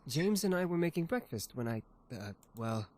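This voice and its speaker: A man voicing a shy, gentle, hesitant young mind reader in a story game. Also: fairly high pitch, very animated, normal speed.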